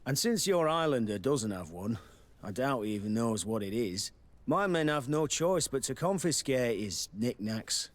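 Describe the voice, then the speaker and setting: Actor as dutiful, mid-pitched guard officer. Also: male voice, expressive, normal speed, natural voice. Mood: courteous, firm. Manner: formal, matter-of-fact, faintly dismissive. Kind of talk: video game dialogue acting.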